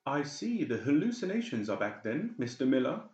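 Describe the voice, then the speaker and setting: A man's voice: mid-range voice, very animated, moderate pace, a little mellow, roomy sound, lo-fi sound, voice pushed up, lightly acted. A man voicing a cold, manipulative doctor in a horror audiobook, mock-polite and menacing. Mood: cold, sharp. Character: cold, conniving, balding doctor, falsely soothing villain. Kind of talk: dramatised horror audiobook dialogue.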